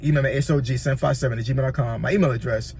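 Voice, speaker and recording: A man's voice: medium pitch, steady tone, very fast, gravelly, a little mellow, a little hiss or hum. A man with a Southern accent from Virginia giving casual, rambling tips as a YouTuber, often repeating himself and checking in with "you know what I'm saying.". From Virginia, USA. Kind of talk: casual tutorial talk.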